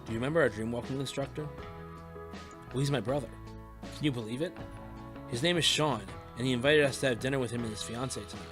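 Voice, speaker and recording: A man's voice: mid-range voice, fast, pauses often, over music, pitched above the performer's own voice, close to an everyday voice. A man voicing a friendly, enthusiastic young student in an audiobook, upbeat and conversational. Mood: excited.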